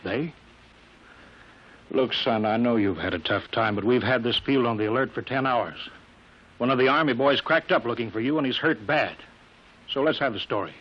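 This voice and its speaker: An actor in an old-time radio drama playing a brusque, impatient middle-aged boss of a rocket test field who barks clipped orders. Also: male voice, medium pitch, moderate pace, long pauses, slightly rough, lightly acted. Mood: stern. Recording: muffled old radio broadcast recording.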